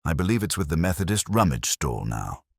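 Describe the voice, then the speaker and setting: A man voicing a brisk, defensive village woman in a mystery story, snappy and indignant. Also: deep voice, big pitch swings, vocal fry, no echo, clean studio sound, full character voice. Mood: evasive.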